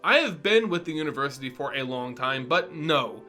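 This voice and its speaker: A man voicing a composed, enigmatic woman mage in a storybook reading, calm, formal and matter-of-fact. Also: mid-range voice, moderate pace, faint music behind. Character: composed, enigmatic older woman mage guiding young travelers.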